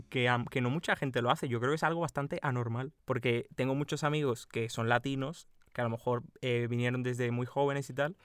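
A man's voice: medium pitch, a bit bright, no room sound, studio quality. A young man from Cuba who speaks with a Madrid Spanish accent, co-hosting a casual podcast chat and explaining at length with frequent laughs. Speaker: podcast co-host.

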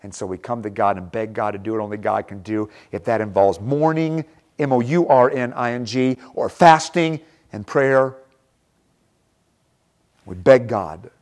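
A man's voice: medium pitch, measured pace, darkish tone. A man preaching a sermon, earnest and emphatic, repeating words for stress, then leading a prayer. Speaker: pastor.

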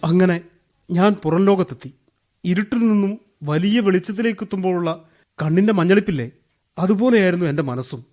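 A high, anguished male voice for a radio drama. He is a wronged former prisoner, embittered and heavy-hearted, and he tells his story haltingly with raw emotion. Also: a little mellow, faint music behind. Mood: sorrowful. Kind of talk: radio drama acting, dramatic first-person narration.

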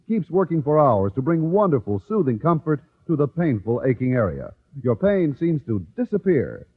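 Animated old-time radio ad man, husky. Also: medium pitch. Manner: emphatic, polished salesmanship. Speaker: commercial announcer. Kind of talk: 1940s-50s radio advertisement, scripted pitch. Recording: narrow, tinny vintage radio recording.

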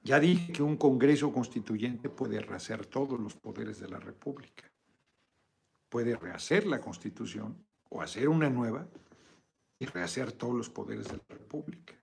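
A man's voice: mid-range voice, lively intonation, normal speed, gravelly, darkish tone, a little hiss or hum. A middle-aged man hosting a casual political video chat, answering viewers in an emphatic, insistent way. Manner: emphatic, argumentative, repeats points insistently.

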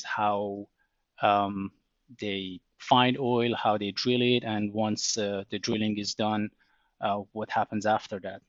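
A man, a podcast guest, explaining his work in a measured way with frequent pauses. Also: fairly low pitch, dry recording, dull audio. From Iran. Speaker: guest, oil trading commercial operator. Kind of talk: casual interview answers.

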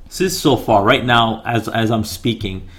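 A man with a raspy voice chatting casually as co-host, thinking aloud with fillers and questions. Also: medium pitch, normal speed, a bit bright, slight background noise. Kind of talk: casual chat. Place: home in Japan.

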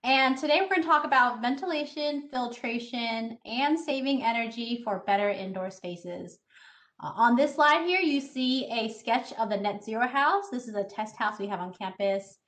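A woman's voice: fairly high pitch, moderate pace, fairly bright tone. A woman in her thirties, a scientist, giving a friendly, explanatory online presentation to students over a video call. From Taiwan, raised in the United States. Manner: friendly, explanatory, with filler uhs. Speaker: scientist giving a talk to kids.

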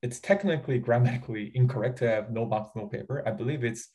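Korean-accented man, halting casual talk. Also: mid-range voice, warm tone. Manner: halting, many fillers and restarts. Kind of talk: casual conversation.

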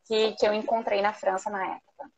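A woman with a Brazilian Portuguese accent speaking as a guest in a live online interview, telling personal stories in a talkative, expressive, conversational way. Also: medium pitch, a little fast, dull audio. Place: remote video call.

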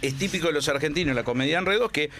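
A man's voice: fairly high pitch, racing. A man on a casual panel chat who jokes and tells anecdotes. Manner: joking, animated anecdote teller.

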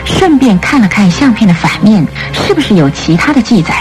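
A woman narrating a radio drama from a script in an expressive storytelling manner. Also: mid-range voice, brisk, rough recording. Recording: studio radio play with music bed.

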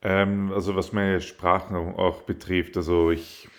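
Low-voiced man, hesitant and thoughtful. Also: little pitch movement, a little slow. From Austria. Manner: thoughtful, hesitant with fillers and restarts. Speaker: interviewee. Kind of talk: casual interview.

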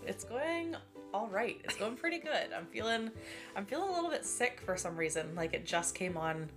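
A woman's voice: mid-range voice, big pitch swings, normal speed, over music. Sniffly, chatty woman in her late twenties. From Canada. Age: late 20s. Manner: chatty, rambling, laughs often. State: stuffy nose, sniffly. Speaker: podcast co-host. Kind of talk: casual chat.

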